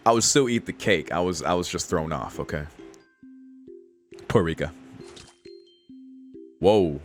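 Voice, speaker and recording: A man's voice: sits low, animated, measured pace, soft background music. A man streaming a game, reading its text aloud and reacting casually with slangy exclamations and swearing. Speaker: gaming streamer reading a visual novel aloud.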